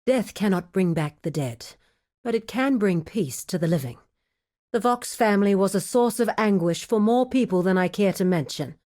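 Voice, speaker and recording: A woman playing a composed, dutiful lieutenant to a crime boss in a video game, speaking in a measured, formal, businesslike way. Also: mid-range voice, lively intonation, mellow, natural voice. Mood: solemn relief. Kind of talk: video game character voice acting.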